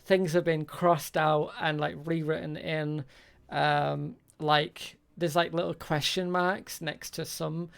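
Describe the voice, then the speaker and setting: A game master with a creaky voice and a hesitant delivery, improvising tabletop roleplay as a shopkeeper. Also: mid-range voice, flat delivery, slow pace, hardly any pauses.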